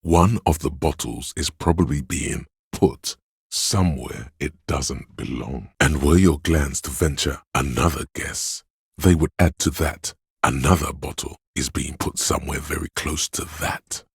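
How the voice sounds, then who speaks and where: A man's voice: a little slow, no echo, clean studio sound, heavily acted. A man voicing a video game character, a sleazy, hedonistic inner voice that craves drugs and thrills, insinuating and savoring its words theatrically. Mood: lurid, insinuating. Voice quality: very deep, gravelly, husky.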